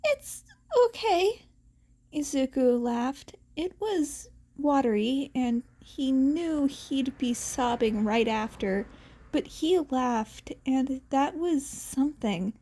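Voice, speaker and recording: A woman with a very high voice narrates a story in an expressive audiobook style. She takes her time, reading at a slow pace. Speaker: podfic reader.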